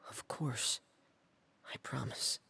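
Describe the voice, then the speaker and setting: An actor playing a shy, soft-spoken teenage boy, a reserved younger brother, with a hesitant, subdued delivery in a video game. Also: takes it slowly, in a whisper. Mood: quiet, earnest. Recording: faint music behind.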